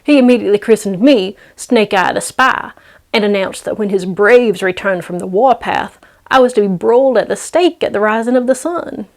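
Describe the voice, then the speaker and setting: A woman's voice: mid-range voice, moderate pace. A woman storyteller narrating in a dramatic, scripted read-aloud style.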